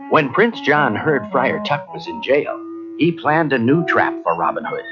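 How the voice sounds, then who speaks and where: A man narrating a children's read-along story in a dramatic, expressive, scripted style. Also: medium pitch, unhurried. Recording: muffled old cassette, music behind.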